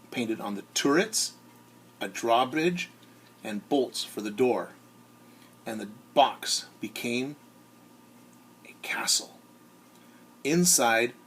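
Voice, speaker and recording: A man who is a teacher reads a storybook aloud to children in an expressive way, often turning to ask his listeners questions. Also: mid-range voice, a little hiss or hum. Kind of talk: animated storybook read-aloud with asides to young listeners.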